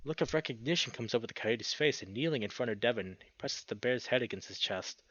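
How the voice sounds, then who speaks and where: A man's voice: medium pitch, animated, quick pace, a bit bright, no room sound. A man reading a visual novel aloud as a let's play host, narrating dramatically and breaking into exclamations. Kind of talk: expressive story narration with excited reactions. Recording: dull lo-fi sound, music behind. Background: game music.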